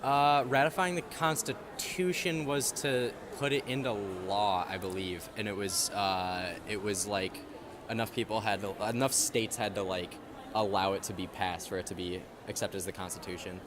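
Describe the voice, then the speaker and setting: Young college guy, lowish voice, casual quiz answers, noisy outdoors. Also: moderate pace. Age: young adult. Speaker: college student quiz contestant. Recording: noisy outdoor public recording.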